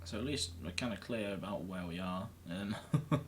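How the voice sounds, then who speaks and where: Lowish-pitched man casually commentating a game. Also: animated, normal speed, a little hiss or hum. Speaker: gaming video host.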